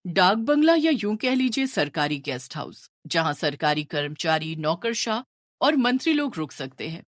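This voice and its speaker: A woman's voice: on the low side, sing-song, moderate pace, no echo, clean studio sound. A woman narrating a scripted horror story.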